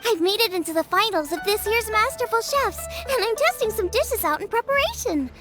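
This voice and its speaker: A cheerful, earnest young girl chef in a video game. The girlish voice is extremely high and lively, eager and expressive, with music clearly playing behind. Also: a little fast. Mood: shy, friendly.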